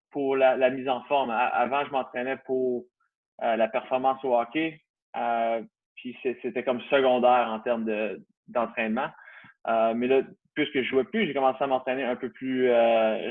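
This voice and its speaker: A man in his thirties with a Canadian French accent, a guest in a casual interview, talking hesitantly with many restarts. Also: medium pitch. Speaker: guest, CrossFit gym owner. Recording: tinny phone-like line.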